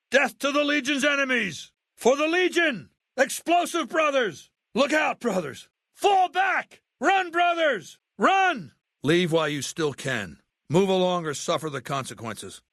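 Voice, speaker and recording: An actor playing a ruthless, intellectual warlord dictator in a video game, speaking in a commanding, articulate and contemptuous way. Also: male voice, very high-pitched, animated, a little slow, sharp tone, dry recording, clean studio sound, heavily acted. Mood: aggressive, battle fury. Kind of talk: video game character acting.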